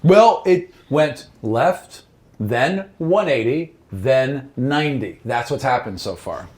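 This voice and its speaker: A man's voice: mid-range voice, animated, slow, darkish tone. A man working as game master and podcast host, narrating a fantasy tabletop game in a conversational way. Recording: background music under the voice. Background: music.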